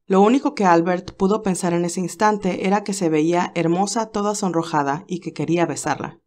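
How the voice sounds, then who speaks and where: A woman reading a romance novel aloud in a calm, even storytelling voice. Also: fairly low pitch, a little fast. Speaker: narrator reading a fan novel. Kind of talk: audiobook narration.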